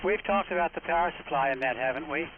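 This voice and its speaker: A man's voice: a little high, fast. A man hosting an amateur radio net in a folksy, encouraging, conversational way. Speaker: net control host. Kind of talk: casual ham radio net chat. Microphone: radio microphone. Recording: phone-like radio audio, faint static.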